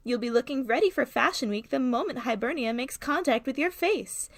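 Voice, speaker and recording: A woman's voice: high-pitched, lively intonation, a little fast, no echo. A woman playing a polished, cheerfully blunt saleswoman reading an old-time radio commercial in a presentational, salesy way. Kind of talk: parody 1940s radio commercial.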